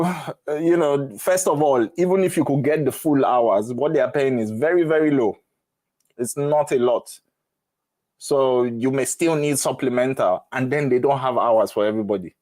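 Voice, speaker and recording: A man's voice: mid-range voice, darkish tone, no echo. A middle-aged man with a Nigerian accent, chatting frankly and casually as he hosts a live advice session and tells stories. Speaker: host of a live Q&A on moving to the UK.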